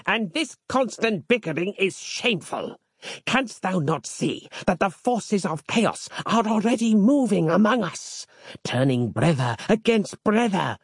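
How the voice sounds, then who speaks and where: A man's voice: sits high, big pitch swings, a little slow, husky, no room sound, clean studio sound, voice pushed up, heavily acted. Man doing a pompous archaic zealot. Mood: grandiloquent. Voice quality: theatrical, archaic speech. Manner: bombastic, sermonizing. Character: pompous archaic zealot warrior-monk, male.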